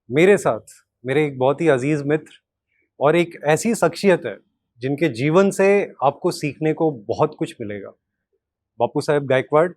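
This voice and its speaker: A man's voice: on the high side, darkish tone. A man hosting a talk interview, mixing English words into his speech. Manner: Hindi mixed with English words. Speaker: interview host.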